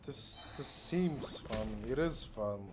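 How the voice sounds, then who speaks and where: A man's voice: mid-range voice, expressive, slow, over music. A young man giving casual, jokey, slangy commentary as he plays a video game. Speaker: gamer commenting on gameplay.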